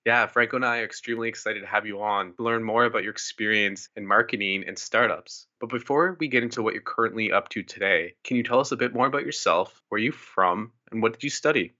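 A man's voice: fairly low pitch, quick pace, hardly any pauses, bright, forceful tone, lo-fi sound. A man co-hosting a podcast, reading a scripted intro and asking a guest questions with upbeat enthusiasm. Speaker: podcast co-host.

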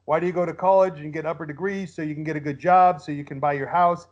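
A man's voice: on the high side, fairly even intonation, quick pace. A man speaking as a podcast guest in casual, opinionated discussion, using rhetorical questions and mimicked quotes to argue his points. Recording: dull lo-fi audio.

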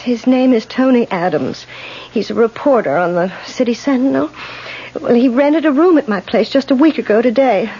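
An actress playing an anxious, suspicious boarding house matron in a 1970s radio drama, speaking emphatically. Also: female voice, a little high, lively intonation, normal speed, mellow, slight background noise. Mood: anxious, hesitant. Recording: dull vintage radio broadcast.

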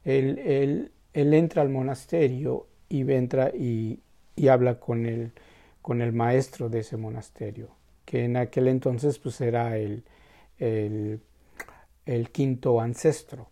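A man telling a Zen story in a casual, halting way, full of restarts and tag questions. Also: mid-range voice, steady tone, gravelly, warm tone.

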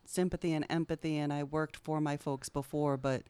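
A woman's voice: sits low, steady tone, normal speed, dark tone, clear, resonant tone, no echo. A middle-aged woman talking candidly and informally as a guest in a conversational podcast interview. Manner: candid, informal, swears casually. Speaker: podcast guest, social worker.

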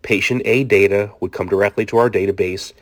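A man's voice: lowish voice, fairly even intonation, dry recording, close to an everyday voice. A man playing a self-justifying research doctor in his late forties, formally reading a diary in an audiobook. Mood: clinical, explanatory. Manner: formal, measured explaining. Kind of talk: scripted audiobook diary monologue.

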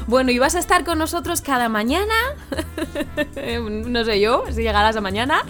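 A woman's voice: sits high, normal speed, fairly bright tone, soft background music. Bossy, teasing radio host woman. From Madrid, Spain. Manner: teasing, bossy, laughs often. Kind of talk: live morning radio chat.

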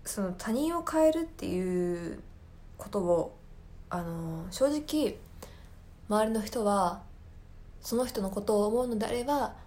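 Female podcast host, mid-pitched, for thoughtful personal monologue; earnest delivery with hesitant searching for the right word. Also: mellow. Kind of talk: reflective solo talk, personal film commentary.

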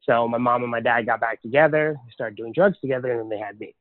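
A man's voice: medium pitch, fast talker, no echo. A man from Hawaii, a podcast guest, telling his life story in a candid, plainspoken way. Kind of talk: casual interview storytelling. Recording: tinny, dry phone-like line.

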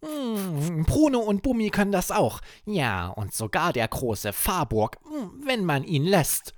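A man's voice: high-pitched, wildly swooping pitch, slow, no echo, studio quality, pitched above the performer's own voice, full character voice. A man voicing a cheeky, teasing plush hare who hums and asks playful questions in a children's bedtime story. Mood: musing.

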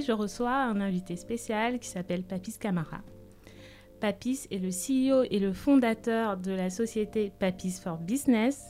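A woman hosting a career podcast, asking her guest questions in a friendly, measured way. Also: medium pitch, warm tone. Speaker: podcast host. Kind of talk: podcast interview.

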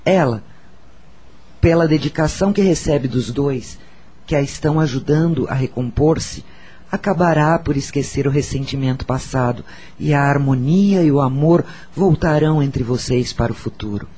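An actor with a Brazilian accent playing a solemn, gentle spirit mentor in a radio drama, speaking in a measured, formal way. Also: male voice, a little high, breathy edge.

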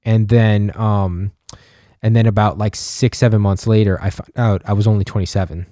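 A man in his thirties with a mid-range voice, rambling through stories in halting, filler-heavy chat. His voice sits dry and close to the mic. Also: fairly low pitch, steady tone, dark tone, no room sound. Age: 30s. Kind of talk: casual chat.

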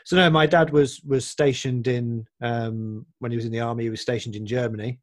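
A man with a Redditch accent, hosting a casual chat and talking in an animated, easily amused way. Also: mid-range voice, brisk, no room sound, clean studio sound. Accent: Redditch, West Midlands. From Redditch, England. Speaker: host.

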